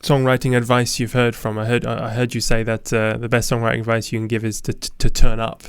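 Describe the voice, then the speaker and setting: A man interviewing songwriters, asking questions quietly and a little hesitantly. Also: mid-range voice, completely flat delivery, fast, clear, resonant tone, dry recording, studio quality.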